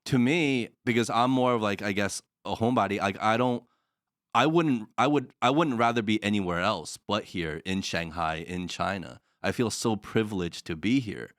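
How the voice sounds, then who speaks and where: A man's voice: on the low side, normal speed, fairly bright tone, no echo, clean studio sound. A man co-hosting a podcast in casual chat, speaking earnestly and filling his sentences with "like". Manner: earnest, heavy use of "like". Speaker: podcast co-host. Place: studio in Shanghai.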